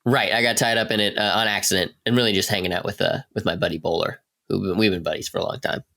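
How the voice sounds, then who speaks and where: A man in a podcast cast, chatting casually in a halting, loosely rambling way. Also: lowish voice, steady tone, creaky, pushed and bright. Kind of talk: casual chat.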